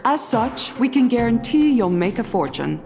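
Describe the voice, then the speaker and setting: A woman's voice: a little high, big pitch swings, normal speed. An actress playing a female head of business for a company in a game cutscene, polite and corporate, stammering when rattled. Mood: polite, salesy. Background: game music.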